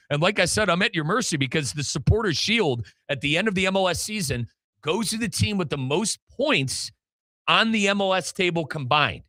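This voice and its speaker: A man with an American accent hosts sports radio in an energetic style. His voice is bright and forceful, pitched a little high for a man, and recorded dry and close in a studio. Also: dry recording. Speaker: sports radio show host and play-by-play announcer.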